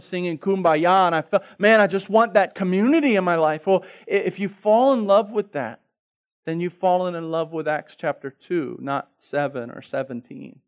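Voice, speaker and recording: A man's voice: on the high side, dull audio. A middle-aged male pastor preaching a sermon in a conversational, storytelling way, repeating words as he restarts and rising to emphatic peaks.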